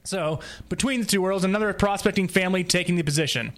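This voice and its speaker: A man with a somewhat high-pitched voice reads from a prepared summary for a podcast. He stumbles here and there and slips in informal asides as he goes. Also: fast talker. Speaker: podcast guest presenter. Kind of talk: reading a prepared plot summary.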